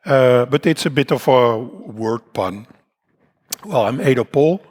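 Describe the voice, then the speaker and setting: A man with a Dutch accent addresses a conference audience, his voice croaky and husky today. His pitch sits in an ordinary middle range for a man. He talks in a loose, self-deprecating way, full of fillers and restarts. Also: lively intonation, slow pace, a little mellow. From Netherlands. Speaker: conference speaker. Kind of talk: conference talk. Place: conference hall.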